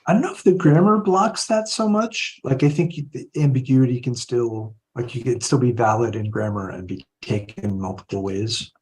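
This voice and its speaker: A man's voice: medium pitch, moderate pace, hardly any pauses, breathy edge, low-quality recording. A man reasoning analytically in a live online discussion, hedging his claims as he goes. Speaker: discussion participant. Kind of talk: live podcast group discussion.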